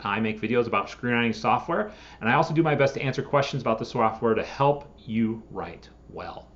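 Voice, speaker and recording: Man explaining to viewers, dull lo-fi sound. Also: medium pitch. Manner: addresses viewers directly with rhetorical questions. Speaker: host of a screenwriting software channel. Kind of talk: scripted video explainer to camera.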